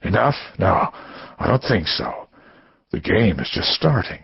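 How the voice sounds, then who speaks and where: A man voicing a menacing male caller who speaks low and breathy, drawing out each syllable in a condescending way. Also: big pitch swings, no echo, lo-fi sound, heavily acted. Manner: condescending, slow and whispery.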